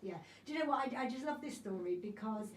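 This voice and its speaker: A woman's voice: on the high side, animated, quick pace. A woman presenting a casual radio interview, prompting her guest with friendly tag questions. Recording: echoey studio with faint noise.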